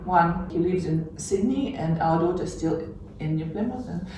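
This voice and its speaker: A woman's voice: lowish voice, moderate pace, slight background noise. A middle-aged woman from Siberia with a Russian accent, telling her life story as an interview guest, reflective and hesitant with frequent laughs. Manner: warm, reflective, many hesitations, laughs often. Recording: echoey room from far off.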